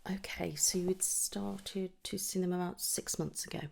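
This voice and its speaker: Female ASMR clinician, soft whisper with husky fry, gentle and reassuring bedside delivery. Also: on the low side, fairly even intonation, normal speed. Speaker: ASMR performer playing a trichologist at a hair clinic. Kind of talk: whispered ASMR medical roleplay.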